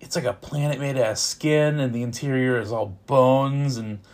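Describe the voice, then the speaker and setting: A man reviewing films in casual, unscripted talk, opinionated and informal, with a halting delivery that repeats words as he goes. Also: medium pitch, fairly even intonation, a little mellow. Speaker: film reviewer.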